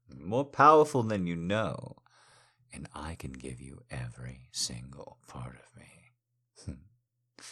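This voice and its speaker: A man playing a silver-tongued, adoring lover in an intimate erotic audio roleplay, teasing and gently commanding in drawn-out, breathy phrases. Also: extremely low pitch, exaggerated voice. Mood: adoring, intense. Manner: flowery, seductive, drawn-out phrasing with breathy whispers.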